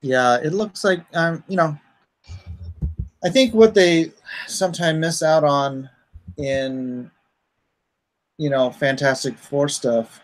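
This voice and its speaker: A man hosting a livestream, chatting casually with informal, unhurried asides. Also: medium pitch, low-quality recording. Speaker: comic artist hosting a livestream.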